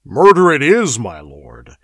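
A gruff male prefect in a storybook reading, barking orders in a loud, commanding voice, as a man reads him. He sits higher than the reader's own voice and talks with dry, close sound. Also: big pitch swings, measured pace, dry recording. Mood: stern. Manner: growling, commanding and curt. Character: gruff, short-tempered male city prefect.

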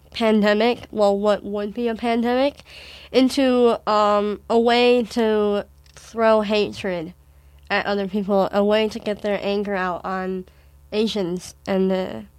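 A teenage girl from Wisconsin with a Wisconsin accent, talking with her mother in a thoughtful, halting way with frequent restarts. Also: medium pitch, smooth, dry recording. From Wisconsin, USA. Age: teens. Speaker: daughter in conversation with her mother. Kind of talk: casual conversation.

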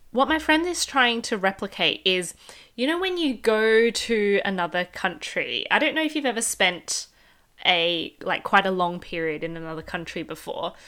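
A woman's voice: mid-range voice, fairly bright tone, smooth. Chatty Australian woman podcaster. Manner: chatty, upbeat, speaks straight to the listener. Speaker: business podcast host. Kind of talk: casual solo podcast monologue.

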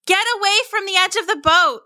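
Protective mother, played by a woman reader: bright, rattled, children's adventure story, dry sound. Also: medium pitch, no room sound. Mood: urgent, fierce. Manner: urgent when warning, flustered and halting when polite.

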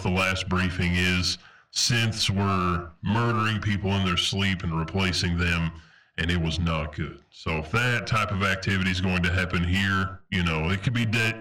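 A man's voice: low-pitched, moderate pace, bright, forceful tone, far from the mic, low-quality recording. A man playing a stern, earnest armored soldier in a roleplaying audio drama, insistent and official, a little away from the microphone. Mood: earnest, grave. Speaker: game player voicing a character.

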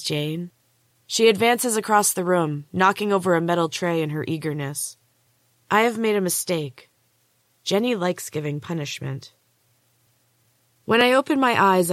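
A woman with a low-pitched voice reads first-person fiction as an author and podcast host. The sound is close and dry, with hardly any room to it. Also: no echo. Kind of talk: first-person fiction storytelling, read aloud.

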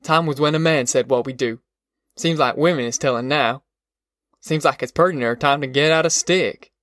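Narrator playing sarcastic farm father, medium pitch, worn-down, proud, folksy dialect, audiobook, dry recording. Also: normal speed, fairly bright tone, no echo, close to an everyday voice. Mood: sarcastic, grumbling. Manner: rustic folksy dialect, grumbling. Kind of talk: audiobook character voice.

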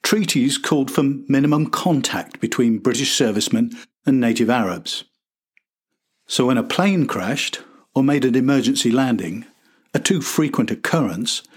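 A man's voice: medium pitch, slightly rough. An older man narrating a scripted history podcast as its host.

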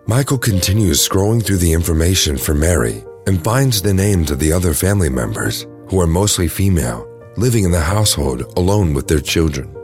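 A man's voice: deep voice, big pitch swings, a little fast, hardly any pauses, a little mellow. A man reading scripted narration for an audio drama as its storyteller. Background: music.

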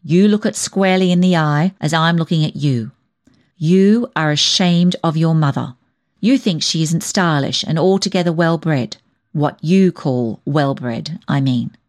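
A woman's voice: low-pitched, a little slow, darkish tone. A woman voicing a stern, blunt older female cousin in a storybook, solemn and indignant. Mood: stern, severe.

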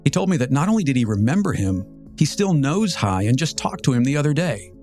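A man in his fifties with an American accent, telling a scripted personal story as a podcast host in a measured, reflective, articulate way. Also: mid-range voice, sing-song, darkish tone. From Southern California, United States. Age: 50s. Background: music.

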